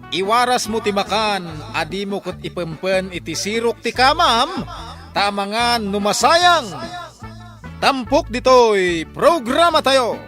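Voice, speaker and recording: A man's voice: sits high, expressive, takes it slowly, bright, forceful tone, soft background music. A man with an Ilocano accent narrating a scripted radio drama sign-off.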